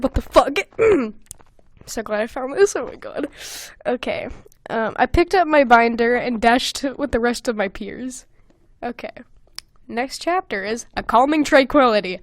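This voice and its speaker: A young woman hosting a podcast in casual comedic chat, reading aloud and commenting with animated, sweary exasperation. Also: on the high side, normal speed, no echo, clean studio sound. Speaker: podcast host.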